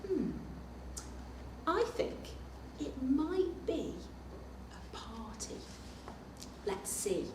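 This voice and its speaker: A woman reading a children's picture book aloud at story time as a librarian, animated and expressive, pausing for asides and questions to young listeners. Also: high-pitched. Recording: echoey room, distant mic, faint noise.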